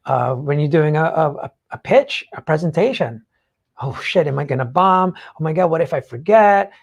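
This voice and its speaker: A co-host in a casual, opinionated chat, speaking bluntly and haltingly, often checking in mid-thought and swearing casually. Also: mid-range voice, animated, gravelly, breathy edge. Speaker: talk show co-host.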